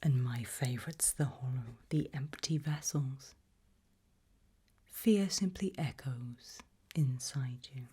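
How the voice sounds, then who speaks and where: A woman speaks in a very breathy whisper, pitched low. She takes her time with each phrase in an unhurried ASMR role-play. Her delivery is measured and quietly ominous, addressed directly to the listener. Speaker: ASMR host playing a fear-test examiner.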